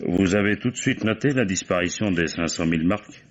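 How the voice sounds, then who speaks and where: An actor playing a wily, genial police commissioner in an old French radio mystery, drawling falsely casual questions and musing asides. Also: male voice, deep voice, brisk. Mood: sly, probing. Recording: dull old radio drama recording.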